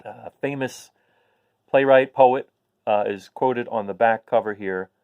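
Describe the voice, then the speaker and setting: A man reading a rhyming picture book aloud to young listeners in an expressive way. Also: medium pitch, a little slow, smooth, narrow, tinny audio. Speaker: children's story reader.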